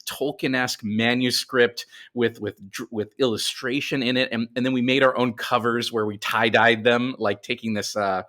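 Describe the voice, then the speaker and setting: A man's voice: medium pitch, fairly even intonation, normal speed, few pauses, fairly bright tone. A middle-aged man telling stories casually as a guest in a podcast interview.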